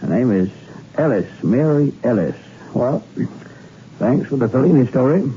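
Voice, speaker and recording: A man's voice: medium pitch, big pitch swings, moderate pace, warm tone. An actor playing an obliging, easygoing man in a 1960s radio drama, with a wry delivery. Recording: dull, faintly hissy old radio recording.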